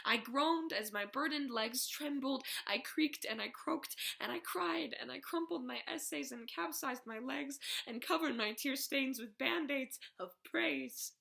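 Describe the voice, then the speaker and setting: A young woman performing slam poetry in an emotional, vulnerable, rhythmic delivery that drops into hushed phrases. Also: high-pitched, unhurried, creaky, few pauses. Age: young adult. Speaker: slam poet.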